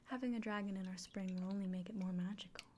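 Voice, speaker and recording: A kindly elven innkeeper played by a woman speaks in a soft, breathy whisper in an ASMR fantasy roleplay. She is earnest and reassuring, keeping an even, narrow melody as she explains things unhurriedly. A faint ambient noise sits behind her. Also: mid-range voice, fast, natural voice. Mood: gentle, reverent.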